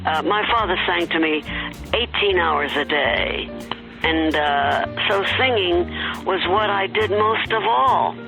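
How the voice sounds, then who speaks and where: An older woman from Baltimore recalling her childhood in a reflective, unhurried archival interview. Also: fairly low pitch, animated, gravelly, fairly bright tone, faint music behind, poor audio. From Baltimore, USA.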